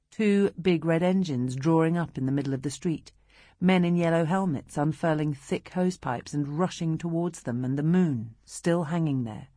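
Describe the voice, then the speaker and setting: A British woman reading literary narration as an audiobook narrator, in a measured, expressive storytelling manner. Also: deep voice, no room sound, clean studio sound.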